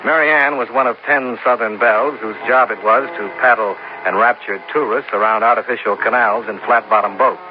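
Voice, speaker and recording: An actor playing a cool, wry insurance investigator in a 1950s radio detective drama, with a dry, matter-of-fact delivery. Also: male voice, medium pitch, fairly even intonation, brisk, hardly any pauses, faint music behind, natural voice. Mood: dry, narrating. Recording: muffled, noisy old radio recording.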